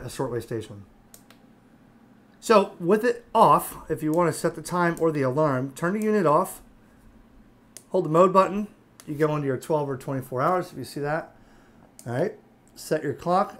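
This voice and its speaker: A man giving a casual, unscripted product review, talking conversationally and haltingly as he thinks aloud. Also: a little high. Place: indoors at a table.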